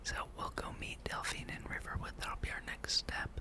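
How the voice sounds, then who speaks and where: A person speaking in a breathy whisper, gently rambling and musing aloud while hosting an ASMR gameplay video. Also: moderate pace. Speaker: gamer and video host.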